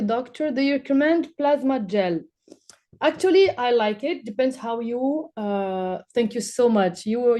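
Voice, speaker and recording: A woman's voice: on the high side, mellow. A woman from Morocco, not a native English speaker, presenting as a doctor and answering questions with enthusiasm. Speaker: doctor presenting at a medical webinar.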